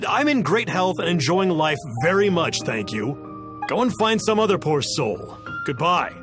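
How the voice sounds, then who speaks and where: A wary townsperson who gets defensive and brusque. His mid-pitched voice moves around animatedly. Game music plays in the background. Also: sing-song, a little slow. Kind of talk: game cutscene voice acting.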